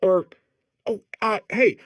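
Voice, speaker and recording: A man's voice: high-pitched, slow, a bit bright, no echo. A man voicing an eager, naive robot core in a comedic video game, babbling apologetically and second-guessing himself. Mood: nervously cheerful.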